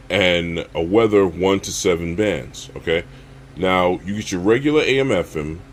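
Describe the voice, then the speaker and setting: Low-voiced man reviewing gear casually. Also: a little hiss or hum. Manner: addresses viewers as guys, checks in with okay and all right. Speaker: survival channel host reviewing gear. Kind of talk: casual unscripted product review and unboxing.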